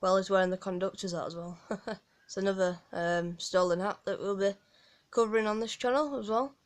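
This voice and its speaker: A woman's voice: medium pitch, fairly even intonation, brisk. A woman with a Yorkshire accent gives a rambling, casual walkthrough of a game from home, full of ums and restarts. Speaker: gaming guide creator.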